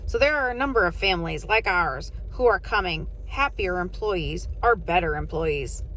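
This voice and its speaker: A woman voicing an earnest colonist father, speaking deliberately in a storybook interview. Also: lowish voice, lively intonation, fairly bright tone, a little hiss or hum, low-quality recording.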